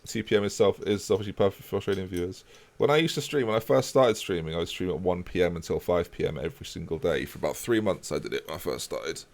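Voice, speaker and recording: A man's voice: low voice, fast, vocal fry, few pauses. A man casually chatting with his live-stream viewers while playing a football management game. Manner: relaxed, chatty, talks to chat while playing.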